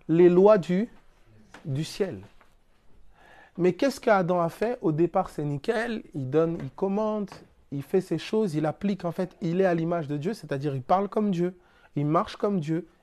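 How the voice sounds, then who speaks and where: Mellow-voiced French preacher man. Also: high voice, measured pace. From France. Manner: explains through everyday examples and rhetorical questions; plainspoken, casual turns of phrase. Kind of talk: spiritual teaching talk.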